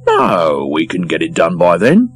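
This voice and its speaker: A man plays an easygoing male film editor in an audiobook. The voice is low and lively, with a flippant, bantering manner and a dry, resigned wit. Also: unhurried, breathy edge, soft background music, heavily acted.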